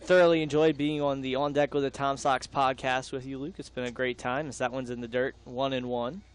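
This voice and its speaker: A man's voice: mid-range voice, level tone, brisk, clean tone. A young man doing live baseball play-by-play, mixing the calls with casual banter. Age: young adult.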